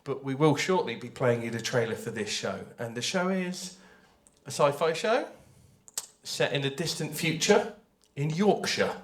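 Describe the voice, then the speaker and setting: A man's voice: medium pitch, unhurried. A man giving an informal panel presentation, conversational and hesitant, often correcting himself. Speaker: panelist, audio drama creator. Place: festival panel before an audience.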